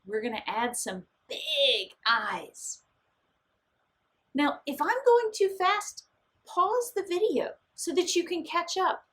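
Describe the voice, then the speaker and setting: A woman's voice: sits high, takes it slowly, fairly bright tone. A woman art teacher guiding children step by step through a drawing and painting lesson, speaking in simple, encouraging, reassuring phrases.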